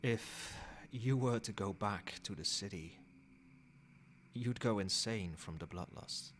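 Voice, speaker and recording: Man acting as low-voiced, possessive vampire sire. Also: fairly bright tone, slight background noise. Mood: stern, insistent. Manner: measured, formal, commanding. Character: possessive, controlling old male vampire sire, soft-spoken and stern. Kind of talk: soft-spoken ASMR roleplay.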